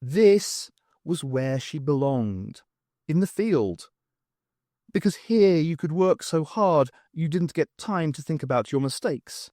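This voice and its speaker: Animated man narrating an audiobook. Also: a little high, slow, dry recording, clean studio sound. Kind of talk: scripted narration of a science fiction novel.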